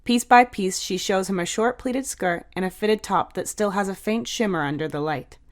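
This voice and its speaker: A woman's voice: medium pitch, normal speed. A woman reading scripted fiction aloud as an audiobook narrator.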